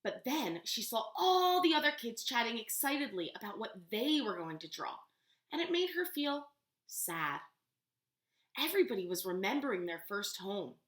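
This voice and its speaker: A woman teacher reading a storybook aloud to young children, pausing to explain words and ask questions. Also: fairly high pitch, big pitch swings, a bit bright. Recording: roomy, echoey room sound.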